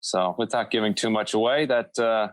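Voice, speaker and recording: A man's voice: lowish voice, moderate pace, no room sound. A man talking casually as a podcast guest, thinking aloud in a meandering, hesitant and modest way. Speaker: podcast guest, audio drama creator.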